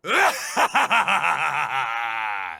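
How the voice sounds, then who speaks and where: An actor playing a sadistic, warmongering cyborg mercenary in a game cutscene, gravelly, taunting and theatrical. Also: male voice, fairly high pitch, wildly swooping pitch, drawn-out words, heavily acted. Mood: sadistic glee. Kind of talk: video game cutscene acting. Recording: long hollow reverb.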